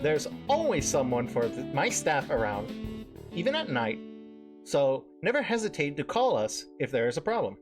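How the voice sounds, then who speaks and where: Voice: medium pitch, very animated, normal speed, music in the background, natural voice. A performer voicing a friendly young male nurse in a visual novel, breezy, welcoming and jokey. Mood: chipper, brisk.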